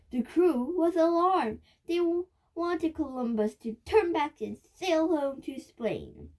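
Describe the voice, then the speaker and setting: A female child reads a book aloud in a high voice. She takes it slowly and carefully, word by word, with the odd halting stumble along the way. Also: dark tone, low-quality recording. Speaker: reader of a children's nonfiction book.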